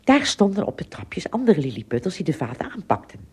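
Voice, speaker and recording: A woman narrating a scripted children's story expressively, with dramatic pauses and little asides. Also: lowish voice, sing-song, slightly rough.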